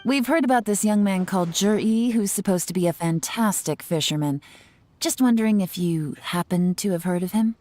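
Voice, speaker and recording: An actress playing a cool, self-assured woman investigator in a video game, smooth, composed and politely probing. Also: mid-range voice, moderate pace, mellow, natural voice. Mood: polite, breezy.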